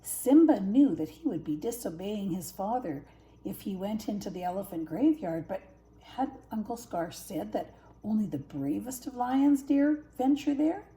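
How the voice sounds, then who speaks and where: A woman's voice: medium pitch, expressive, normal speed, warm tone, low-quality recording. An older woman, a grandmother, narrating a children's story. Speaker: grandmother reading stories.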